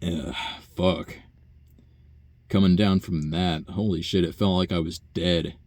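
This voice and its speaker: A young man talking through a rambling, casual personal monologue, profane and full of fillers and sighs, with a low buzzy voice. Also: fairly even intonation, a little slow, darkish tone. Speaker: vlogger.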